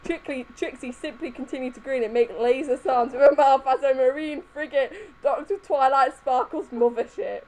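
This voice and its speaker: A woman's voice: high-pitched, moderate pace, few pauses, a little mellow, a little hiss or hum, poor audio. A woman giving a comedic dramatic reading of fan fiction, prone to giggling as she narrates. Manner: giggly, often breaking into laughter. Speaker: reader.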